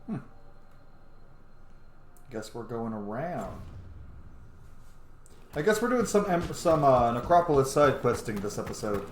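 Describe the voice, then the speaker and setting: Man, lively varied pitch, casual gameplay commentary, chatty, enthusiastic, roomy sound, light swearing. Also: a little slow, pauses often, slight background noise. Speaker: host of a gameplay series.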